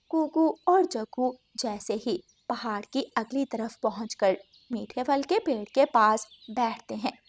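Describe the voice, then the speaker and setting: Female narrator for scripted kids' stories, high register. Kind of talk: scripted children's story narration.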